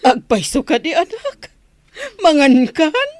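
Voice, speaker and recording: A woman's voice: high-pitched, sing-song, slow, darkish tone, heavily acted. A woman playing an anxious, pleading mother in an Ilocano radio drama, with an Ilocano accent and an emotional delivery. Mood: emotional, relieved. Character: anxious, pleading mother fretting over her grown child.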